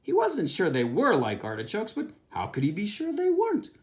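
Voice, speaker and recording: A pastor reading a children's book aloud, with expressive storytelling. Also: male voice, high voice, normal speed.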